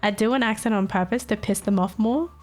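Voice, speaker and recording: A woman's voice: mid-range voice, brisk, faint music behind. A young woman with a Filipino accent chatting casually on a live stream, teasing her chat and laughing often. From Philippines.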